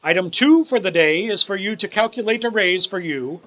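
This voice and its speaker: Man acting as old reformed miser, high sharp voice, theatrical, emphatic, formal radio play delivery. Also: moderate pace. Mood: generous, brisk. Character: elderly reformed miser uncle and boss, grandly merry.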